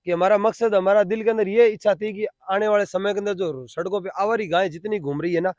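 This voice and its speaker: High-pitched Rajasthani man, dry sound. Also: fairly even intonation, fairly quick, a little mellow, no room sound. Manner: repeats phrases for emphasis. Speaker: interviewee, cow shelter volunteer. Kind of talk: spontaneous explanatory interview answers.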